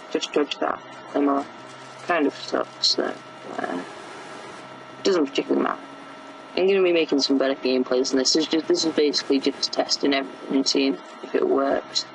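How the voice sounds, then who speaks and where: Teen boy, sore gravelly throat, flat rambling game commentary, faint hiss behind. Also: fairly high pitch. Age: teens. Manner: rambling, many fillers and restarts. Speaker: gameplay commentator. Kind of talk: casual gameplay commentary.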